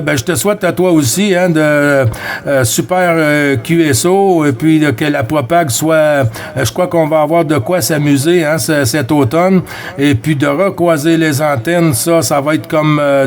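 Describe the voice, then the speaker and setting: Higher-voiced Quebec French radio hobbyist man. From Quebec, Canada. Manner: friendly, repetitive, halting phrasing. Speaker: amateur CB radio operator. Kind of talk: casual radio chat with callsigns.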